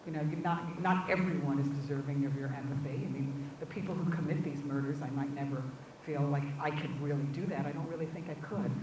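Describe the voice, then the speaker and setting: A woman with a low voice speaks as a guest in an academic discussion. She thinks aloud in halting, hedged phrases with repeated words. She is away from the mic in an echoey room with clear background noise. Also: fairly even intonation, distant mic. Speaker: visiting guest speaker answering audience questions.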